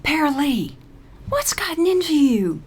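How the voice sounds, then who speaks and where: Woman voicing a high, chipper old lady. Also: big pitch swings, measured pace, slight background noise. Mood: concerned. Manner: bright, eager, friendly. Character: chipper, kind-hearted old woman, retirement home resident.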